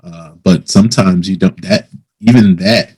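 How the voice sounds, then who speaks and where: A man hosting a casual interview, speaking in a hesitant, halting conversational way. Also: low voice, darkish tone, slightly rough. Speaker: interviewer, host.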